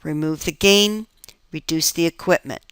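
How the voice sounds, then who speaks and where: Low-pitched woman giving a dry-sounding accounting lecture. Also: normal speed, fairly bright tone, clear, resonant tone, dry recording. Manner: explanatory, emphatic, checks understanding with rhetorical questions. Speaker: accounting instructor. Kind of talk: instructional lecture, walking through worked examples.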